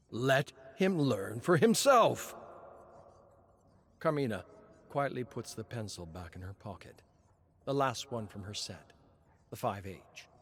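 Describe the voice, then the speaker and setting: Slow, expressive male story narrator. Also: on the low side. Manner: measured, suspenseful. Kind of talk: dramatic scripted story narration.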